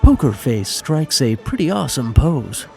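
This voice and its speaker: A man's voice: medium pitch, very animated, normal speed, creaky, warm tone, faint music behind. A man narrating the action of a scripted audio drama.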